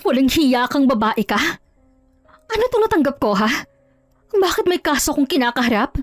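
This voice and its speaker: A woman voicing a desperate mistress in an audio drama, shrill, indignant and pleading. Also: big pitch swings, moderate pace, no echo, faint music behind. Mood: outraged. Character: desperate mistress, angry, pleading young mother.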